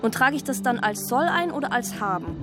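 A woman's voice: high voice, animated, brisk, music in the background. An actress playing a scatterbrained, self-justifying woman in a naturalistic radio drama monologue, rambling and questioning herself as she goes. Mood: flustered, puzzled.

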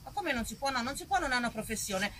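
A woman's voice: fairly high pitch, expressive, quick pace, a bit bright, a little hiss or hum. Woman playing a blunt, stubborn male registry clerk. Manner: blunt, sceptical, questioning. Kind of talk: acted dialogue in a stage monologue.

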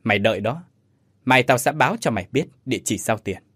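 A narrator plays a young man, hot-tempered and wounded by betrayal. His male voice swings widely and pushes up loud in a dry storytelling recording. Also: medium pitch, expressive, a little fast, no echo. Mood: bitter anger.

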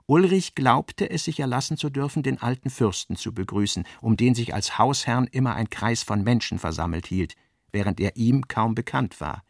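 A man's voice: mid-range voice, lively intonation, normal speed, gravelly, no room sound, studio quality. A man reading literary narration for a radio drama in a scripted style.

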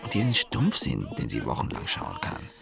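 A deep, creaky-voiced fault-finder in a radio play. His scornful, pedantic delivery swings widely in pitch, with loud music playing behind him. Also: wildly swooping pitch, moderate pace, heavily acted. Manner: disparaging, pedantic. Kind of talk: radio drama acting with commentary asides.

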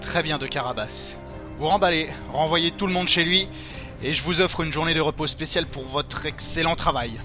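An actor playing a curt, condescending police prefect in an audio drama, pompous and authoritative. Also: male voice, fairly high pitch, moderate pace, over music, close to an everyday voice. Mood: impatient. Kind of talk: French audio drama acting.

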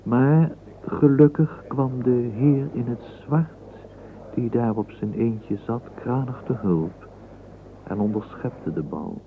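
A man's voice: medium pitch, takes it slowly. A man narrating a literary radio play. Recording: muffled phone-like old radio recording, hissy.

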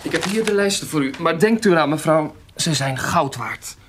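An actor playing a self-important, flattering town magistrate with a husky voice and a courtly, fussy manner in a period audio drama. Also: male voice, on the high side, normal speed, background noise, close to an everyday voice. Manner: courtly, fussy, repeats himself.

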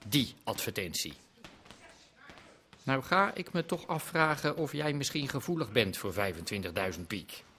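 A man's voice: mid-range voice, very animated, normal speed, pushed and bright, a little hiss or hum. A man acting a suspicious, menacing gambling boss and slumlord in a radio drama, speaking in a curt, sardonic way.

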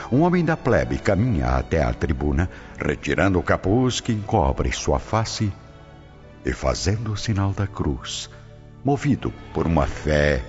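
Super deep guy narrating radio drama, loud music under, dull lo-fi. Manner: measured, dramatic.

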